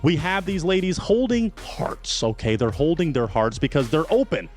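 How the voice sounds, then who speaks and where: A man's voice: fairly high pitch, big pitch swings, moderate pace, a little mellow, soft background music. A man hosting a dating show, introducing it in a theatrical, showy announcer manner.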